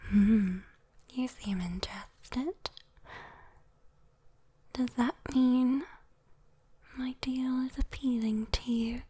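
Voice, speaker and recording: A British woman playing a sweet, teasing, possessive female demon in a breathy, intimate ASMR roleplay whisper. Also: fairly high pitch, fairly even intonation, extremely slow, pauses often, dark tone, full character voice. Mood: teasing, coaxing.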